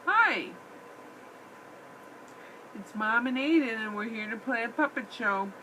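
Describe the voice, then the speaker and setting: A woman's voice: a little high, lively intonation, takes it slowly, bright, forceful tone, a little hiss or hum, rough recording. A woman chatting simply and encouragingly as a mother in an improvised puppet show, recorded on a tablet at home. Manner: encouraging, asks many simple questions. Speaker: mother playing puppets with her son. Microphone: iPad microphone.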